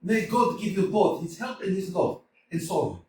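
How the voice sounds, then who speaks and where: A man's voice: very animated, moderate pace, a little mellow. A man playing a gushing jovial old landowner. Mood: overjoyed. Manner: effusive, booming, laughs often, pet names. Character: jovial gushing older landowner, father of the bride. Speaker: actor in a staged reading. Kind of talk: staged play reading. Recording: roomy hall from a distance, faint hiss.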